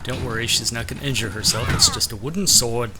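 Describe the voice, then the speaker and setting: A man's voice: medium pitch, expressive, fast talker, noisy background. A man giving casual, sardonic gameplay commentary with a complaining streak. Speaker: let's play gamer.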